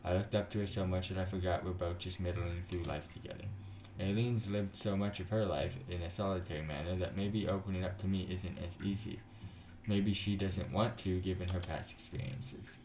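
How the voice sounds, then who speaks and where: A man's voice: deep voice, fairly even intonation, fairly quick, roomy sound, a little hiss or hum, low-quality recording. A man narrating a visual novel for a let's play, breaking off into joking asides. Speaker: video game streamer. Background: soft background music.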